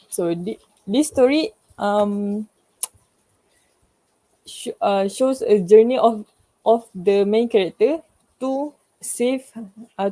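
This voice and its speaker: Female, Malaysian accent, soft-edged mellow voice. Class presenter offering informal comments, halting and searching for words. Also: mid-range voice, low-quality recording. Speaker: class presenter giving feedback. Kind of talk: informal spoken feedback to a class.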